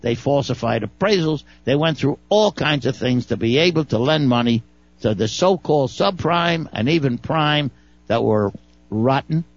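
A man's voice: mid-range voice, expressive, normal speed, dry recording, highs cut off. A man with a Brooklyn accent giving folksy, wry legal commentary on a talk radio show, often stumbling and repeating words.

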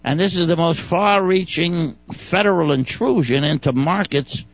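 A man's voice: a little high, moderate pace, gravelly, dry recording, lo-fi sound. A man with a Brooklyn accent giving folksy, wry legal commentary on a talk radio show, often stumbling and repeating words.

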